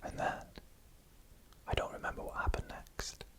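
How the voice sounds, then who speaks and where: ASMR narrator for scary bedtime stories: fully whispered, bright, breathy delivery with measured, suspenseful phrasing. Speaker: ASMR storyteller. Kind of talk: whispered ASMR storytelling, scripted first-person narration.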